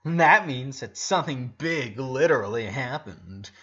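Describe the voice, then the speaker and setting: Man as a bossy, excited teenage big sister. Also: medium pitch, a little slow, highs cut off. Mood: eager, excited. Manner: commanding, emphatic.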